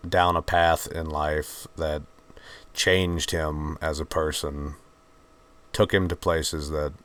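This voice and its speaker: A man with a mixed Virginian and Georgian Southern accent, hosting his channel and talking in a subdued, halting, heartfelt way. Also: rumbling low. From Virginia, USA. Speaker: channel host. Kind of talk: reflective personal talk to camera.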